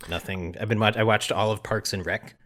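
Low-voiced man, joking podcast co-host. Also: steady tone, fairly quick, fairly bright tone. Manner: joking, riffing with silly made-up bits. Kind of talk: casual comedic chat.

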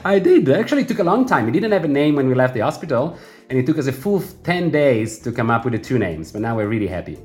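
A man's voice: mid-range voice, very animated, quick pace, a little mellow, roomy sound, soft background music. A man, a returning academic guest on a podcast, chatting cheerfully and gratefully. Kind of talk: podcast interview.

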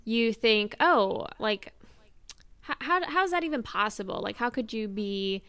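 A woman's voice: a little high, measured pace, a bit bright, clear, resonant tone, no room sound. A woman co-hosting a podcast, chatting casually as a fan in a discussion. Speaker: podcast co-host.

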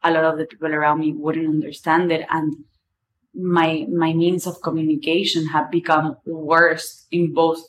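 A woman's voice: low-pitched, flat delivery, moderate pace, a little hiss or hum. A woman in her twenties with an Argentinian accent, a native Spanish speaker, talking candidly and rambling in a personal podcast monologue. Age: 20s. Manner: candid, rambling, swears casually. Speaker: podcast host.

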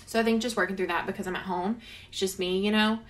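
A woman's voice: medium pitch, steady tone, fast. A young woman co-hosting a casual chat podcast who laughs often and fills her talk with "um" and "like". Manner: giggly, lots of fillers like "um" and "like". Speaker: podcast co-host.

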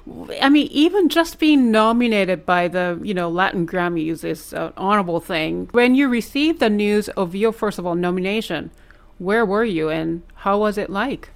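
Female interview host, conversational delivery, average-pitched voice. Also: lively intonation, moderate pace. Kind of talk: casual interview with listening responses.

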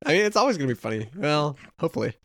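Young male co-host, lively rambling chatter, dry recording. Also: fairly high pitch, very fast, no room sound. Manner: animated, rambling, laughs often. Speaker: podcast co-host. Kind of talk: casual chat.

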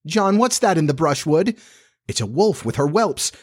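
A man voicing excitable young children in a storybook, eager and exclaiming. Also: mid-range voice, measured pace, no echo, clean studio sound. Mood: excited.

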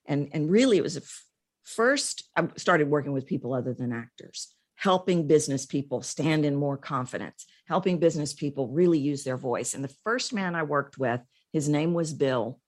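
Low-pitched middle-aged woman. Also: animated, normal speed. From Texas, United States. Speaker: interview guest, voice coach. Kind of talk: conversational interview storytelling.

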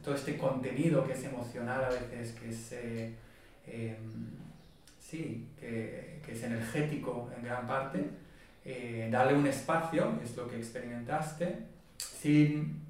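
A man, a body-focused therapist and interview guest, explaining his approach in a calm, measured way. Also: medium pitch. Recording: very echoey room, distant mic.

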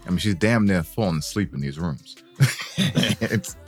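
Male co-host, deep relaxed voice, teasing slangy banter. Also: unhurried, breathy edge, soft background music. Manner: laid-back, joking, slangy. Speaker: podcast co-host. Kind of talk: casual chat.

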